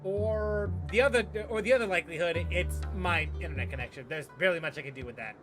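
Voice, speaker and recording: A man's voice: high voice, fast talker. A man giving casual, slangy live commentary as he streams a game, reacting as he goes. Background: game music.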